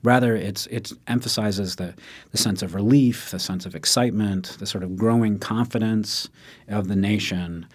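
A man explaining music history in relaxed conversation, often checking in with "right?" and acting out quoted speech. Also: fairly low pitch, moderate pace, breathy edge. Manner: animated, acts out quotes in raised voice, frequent "right?".

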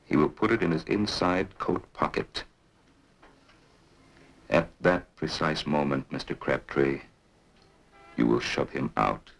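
An actor playing a cold, scheming male employer in an old radio drama, speaking slowly and persuasively. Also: very deep voice, vocal fry, exaggerated voice, low-quality recording. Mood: cold, menacing. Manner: slow, measured, coldly persuasive. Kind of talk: 1950s radio drama acting.

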